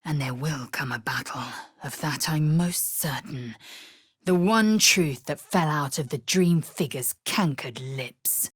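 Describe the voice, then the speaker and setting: A woman voicing a fierce, proud young githyanki warrior in a video game, blunt and disdainful, with clipped, formal, archaic phrasing and a husky, breathy voice. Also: low voice, slow, dry recording, studio quality, heavily acted. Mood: grave. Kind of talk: video game character voice lines.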